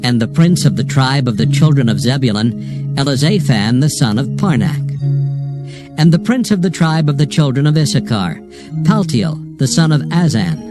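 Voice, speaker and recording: A man narrating dramatized scripture in a solemn, declamatory manner. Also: mid-range voice. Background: music.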